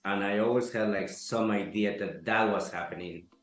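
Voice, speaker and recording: A man from Ecuador, giving an earnest, halting testimonial interview full of fillers. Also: on the low side, steady tone. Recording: echoey room, soft music behind.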